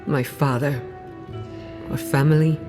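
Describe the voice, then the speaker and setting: Video game companion: confident female researcher, low register, dry wit, guarded, over music. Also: fairly even intonation, a little slow. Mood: somber, guarded. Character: driven, wry female scholar, guarded about family loss. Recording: music playing behind the voice.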